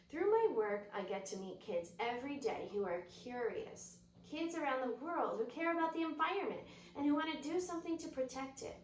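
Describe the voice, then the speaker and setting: A woman reading a children's book aloud with expressive, storytelling delivery. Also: sits high. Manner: expressive, drops to whispers at quiet moments. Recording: roomy echo, distant mic, faint noise.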